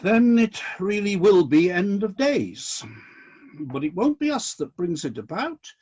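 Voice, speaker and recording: A disillusioned God played by a man rules heaven in a radio play, indignant and commanding. The voice sits high for a male, swings widely in pitch and has a husky grain. The delivery is deliberate and decisive. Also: very animated, a little mellow, highs cut off, exaggerated voice. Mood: firm, hopeful.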